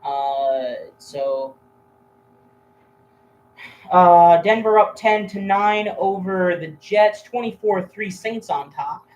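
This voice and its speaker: Man giving halting, casual game updates. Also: high voice, a little mellow, a little hiss or hum, rough recording. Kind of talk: casual sports chat and score updates.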